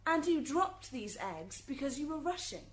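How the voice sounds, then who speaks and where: A woman's voice: sits high, big pitch swings, normal speed. A woman playing a relentless, accusing prosecutor in a radio drama, pointed, curt and insistent. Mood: probing.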